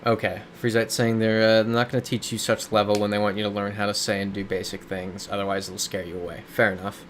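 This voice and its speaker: A man's voice: on the low side, level tone, quick pace, a little hiss or hum. A man live-streaming a game, chatting casually as he reads viewer comments aloud and riffs on them. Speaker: streamer reading chat. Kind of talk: casual live-stream chat while playing a geography game. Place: his home in a big city.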